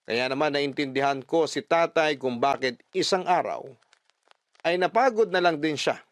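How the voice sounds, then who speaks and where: A man giving a calm, clear, scripted narration of a sentimental first-person story. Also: on the high side, moderate pace, slight background noise. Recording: narration over background music.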